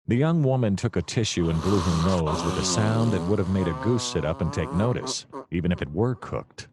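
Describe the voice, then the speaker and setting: An actor playing a wry, easily distracted private detective, narrating in a long-winded deadpan comic noir style. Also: male voice, deep voice, brisk, a crowd in the background.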